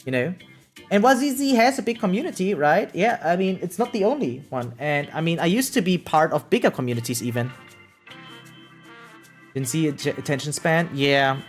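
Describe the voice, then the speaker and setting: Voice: mid-range voice, animated, moderate pace. A young adult streamer chatting casually with viewers, rambling and repeating words, informal and sweary, often self-deprecating. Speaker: live streamer. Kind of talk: casual chat with viewers, reading out and answering comments. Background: background music.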